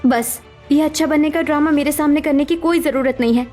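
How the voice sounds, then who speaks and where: A woman acts out a hurt young sister-in-law in a cartoon drama, defensive and mistrustful. The voice is very high, over faint music. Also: brisk, a little mellow, lightly acted. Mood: bitter, sarcastic. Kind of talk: cartoon family drama voice acting.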